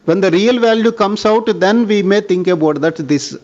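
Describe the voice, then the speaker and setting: A man's voice: high voice, normal speed, darkish tone. A man with an Indian accent answering analysts' questions formally as a bank executive on an earnings call. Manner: formal, often addressing listener as sir. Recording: dull lo-fi conference call audio.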